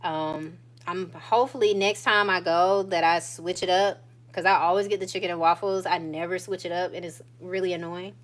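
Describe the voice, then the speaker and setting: A woman in her thirties talking straight to her viewers in a loose, unhurried way. Her voice sits a little low, and she drags out some phrases and laughs easily as she chats. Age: 30s. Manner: relaxed and chatty, drawn-out phrases and frequent laughs. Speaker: vlogger. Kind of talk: casual catch-up chat to viewers.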